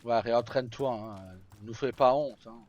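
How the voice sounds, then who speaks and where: A man's voice: mid-range voice, fairly even intonation, normal speed, darkish tone, muffled recording. A man giving casual commentary as he plays a video game on a live stream.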